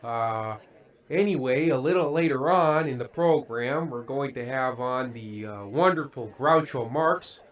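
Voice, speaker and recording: A man's voice: mid-range voice, a little slow, close to an everyday voice. A man playing an anxious, prim, fading variety show host in a radio comedy, earnest and hesitant in delivery. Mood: awkward showmanship. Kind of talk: old-time radio comedy acting.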